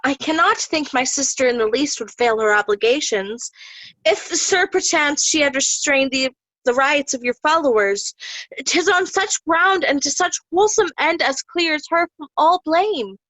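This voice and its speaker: A woman's voice: high-pitched, expressive, measured pace, fairly bright tone, dry recording, low-quality recording. A woman playing a cool royal daughter, formally admonishing her old father in Shakespearean style. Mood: polite. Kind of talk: Shakespearean reader's theatre acting.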